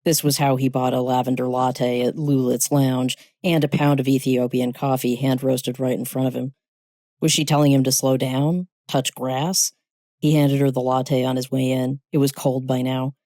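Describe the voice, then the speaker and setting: A storyteller reads scripted fiction in a dark, mellow voice. The pitch sits in the middle, and the sound is very dry, with no room around it. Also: little pitch movement, normal speed, dry recording. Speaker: story narrator. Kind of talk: scripted short-story narration.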